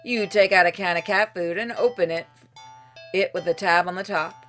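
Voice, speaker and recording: A woman with a fairly low voice narrates a game as she plays it. She reads the text like a story, expressively, and slips into casual, dryly funny asides. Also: normal speed, a bit bright, faint music behind. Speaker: streamer playing and narrating a game. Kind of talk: reading visual novel narration aloud with casual asides.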